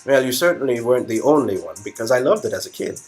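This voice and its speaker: Man putting on a husky, charming young movie star. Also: medium pitch, very animated, faint music behind. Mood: friendly, playful.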